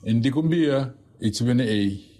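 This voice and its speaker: A man from Fiji with a Fijian accent, a guest on a radio show, talking in a relaxed, hesitant way with many fillers and restarts. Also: mid-range voice, unhurried. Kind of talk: casual radio interview.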